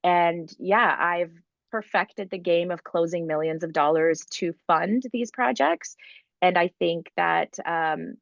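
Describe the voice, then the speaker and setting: Low-voiced woman talking fast with lots of "like". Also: vocal fry, dry recording, dull audio. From Ukraine. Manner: fast, filler-heavy, enthusiastic with many "like"s. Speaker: guest entrepreneur. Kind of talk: conversational interview.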